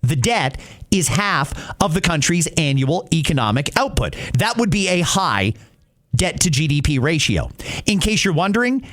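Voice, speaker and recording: Male podcast host, high voice. Opinionated, emphatic, conversational commentary on politics. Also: a bit bright. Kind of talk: political commentary, answering listener messages.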